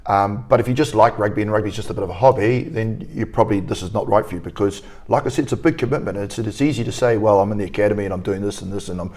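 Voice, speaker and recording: A man with a New Zealand accent speaks in a slightly low voice as a rugby academy coach. He talks earnestly in an interview, often restarting his sentences. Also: racing, hardly any pauses, darkish tone.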